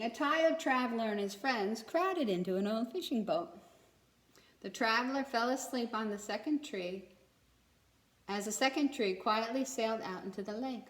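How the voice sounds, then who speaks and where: A woman reading a children's story aloud expressively, as a storyteller who checks in with young listeners. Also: a little high. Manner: pauses to ask young listeners questions. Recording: echoey room.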